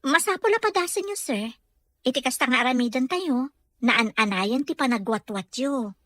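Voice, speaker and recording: A woman's voice: sits high, lively intonation, moderate pace, fairly bright tone, no echo. A woman playing a caring, respectful physical therapist in an Ilocano radio drama, with a Filipino accent and a polite, deferential manner. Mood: helpful. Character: caring, respectful female physical therapist encouraging her patient.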